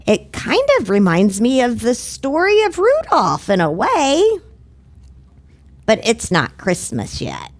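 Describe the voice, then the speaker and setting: A woman hosting a children's show, explaining a lesson simply and deliberately, with pauses. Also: on the high side, huge pitch swings.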